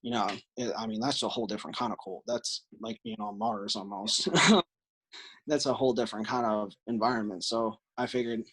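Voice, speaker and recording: A man's voice: medium pitch, lively intonation, normal speed, creaky, a bit bright, husky, rough recording. A man with an American accent giving earnest, explanatory answers as a podcast guest in a conversational interview. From Illinois, United States.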